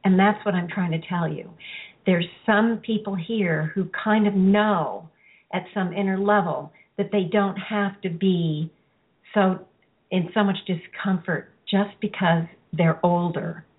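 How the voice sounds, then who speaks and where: Hoarse woman guiding a meditation. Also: fairly low pitch. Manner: calm, instructive, unhurried, with restarts. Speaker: host leading a guided healing meditation. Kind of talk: guided meditation talk. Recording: tinny phone-like line.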